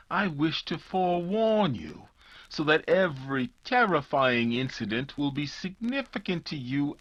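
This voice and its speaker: Slow, menacing old villain. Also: male voice, medium pitch, fairly bright tone, dull audio. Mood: gloating threat. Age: 70s character. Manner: slow, ominous, grandiose. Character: vengeful gaunt old criminal doctor, menacing, theatrical. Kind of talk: 1940s radio mystery acting.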